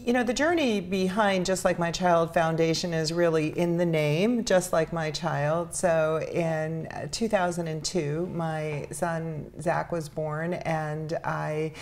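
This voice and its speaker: A middle-aged woman with an American accent, telling her personal story earnestly and reflectively as an interview guest, pausing between phrases. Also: low-pitched, fairly even intonation, a little mellow. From New York City, United States. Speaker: interview guest, charity founder.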